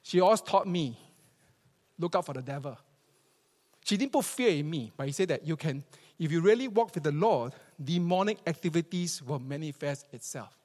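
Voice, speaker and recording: Singaporean guy preaching in church, pretty high voice, emphatic, big echoey hall. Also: lively intonation, moderate pace, a little mellow. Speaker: pastor, preacher. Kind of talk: church sermon with scripture reading and storytelling. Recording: reverberant hall.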